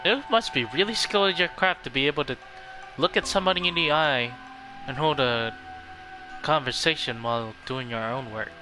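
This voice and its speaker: Guy streamer chatting casually over game music, mid voice, pretty animated. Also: medium pitch, normal speed, low-quality recording. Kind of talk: casual live gameplay commentary.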